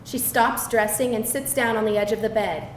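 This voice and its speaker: A woman's voice: on the high side, steady tone, moderate pace. A woman narrating scripted stage directions at a live screenplay table read. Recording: echoey room, distant mic, faint noise.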